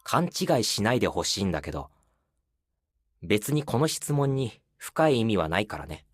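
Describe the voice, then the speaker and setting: A man's voice: lowish voice, big pitch swings, fast, no echo. A male actor playing an aloof, secretly lonely young man in an intimate, casual game voice drama. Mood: coy, intimate. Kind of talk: intimate phone-call game voice acting.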